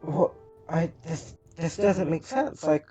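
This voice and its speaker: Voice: medium pitch, animated, takes it slowly, dark tone, faint music behind. Stammering player in a tabletop game. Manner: hesitant, stammering.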